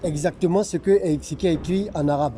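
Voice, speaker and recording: A man's voice: a little high, quick pace, mellow. A man evangelizing on a city street, pressing with insistent, repeated questions. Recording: noisy street with passing traffic.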